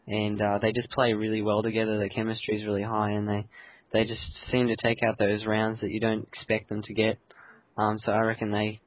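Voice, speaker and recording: A man hosting a casual gaming discussion. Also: lowish voice. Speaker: host. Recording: narrow lo-fi voice chat.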